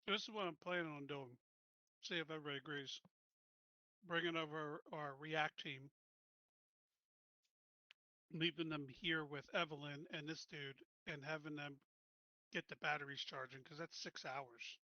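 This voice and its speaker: Bright-voiced man planning a move. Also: fairly high pitch, steady tone, normal speed, long pauses, no echo. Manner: decisive, lays out plans. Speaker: player. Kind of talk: casual tabletop play. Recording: dull lo-fi audio.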